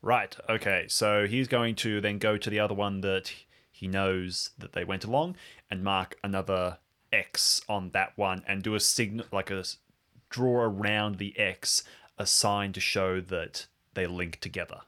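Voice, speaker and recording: A man's voice: fairly low pitch, fairly even intonation, unhurried, bright, forceful tone. A man casually improvising narration of his character's actions as a player on an adventure podcast.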